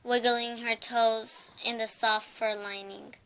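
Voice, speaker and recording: A young woman narrates a children's storybook. Her voice is high and bright, and she reads slowly and carefully, sometimes repeating a word. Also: little pitch movement, clean tone, rough recording. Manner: careful reading with stumbles and repeated words. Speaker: storybook reader.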